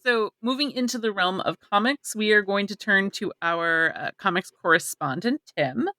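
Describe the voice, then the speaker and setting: A woman's voice: mid-range voice, expressive, normal speed, bright, forceful tone, no echo. A woman co-hosting a podcast in a casual style. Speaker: podcast co-host.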